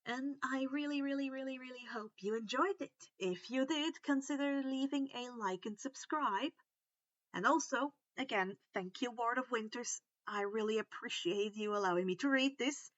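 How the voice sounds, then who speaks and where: A woman who is not a native English speaker, reading stories aloud in an expressive, informal way. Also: a little high, normal speed, no echo, highs cut off. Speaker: fan fiction reader, host. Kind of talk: expressive amateur story narration, chatty outro.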